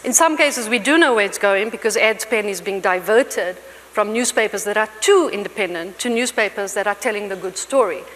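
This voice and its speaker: A woman's voice: mid-range voice, moderate pace, a bit bright. A woman on a conference panel, speaking earnestly and building her points in lists. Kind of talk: panel discussion. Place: large conference hall stage. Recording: long hall reverb, faint noise.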